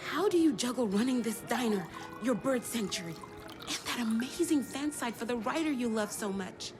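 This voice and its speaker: A woman acts a folksy diner customer in a comic video game, high-pitched and gushing. She sounds adoring and awestruck, with music behind her. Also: animated, moderate pace, close to an everyday voice. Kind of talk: exaggerated comic game dialogue.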